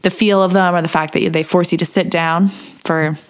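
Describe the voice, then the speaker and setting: A woman's voice: lowish voice, fairly even intonation, brisk. A woman from Canada with a slight Canadian accent, co-hosting a podcast in an easygoing, practical way. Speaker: podcast co-host. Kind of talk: casual podcast chat.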